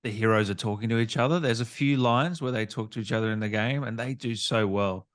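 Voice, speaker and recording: A man's voice: mid-range voice, a little fast, dry recording, clean studio sound. A man hosting a casual gaming interview, asking questions in an informal, conversational way. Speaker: interviewer, gaming channel host.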